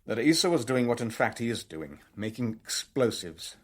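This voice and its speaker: A man's voice: medium pitch, very animated, moderate pace. An actor playing a guarded, stubborn businessman in a radio drama, speaking in a terse, controlled way. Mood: guarded, defiant. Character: guarded, stubborn businessman held under questioning.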